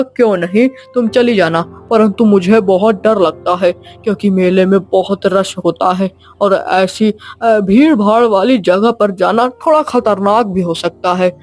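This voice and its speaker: A man playing a doting, protective old grandfather in an animated story, speaking with affectionate reassurance. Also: sits high, unhurried, faint music behind. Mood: worried. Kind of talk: animated moral story dialogue.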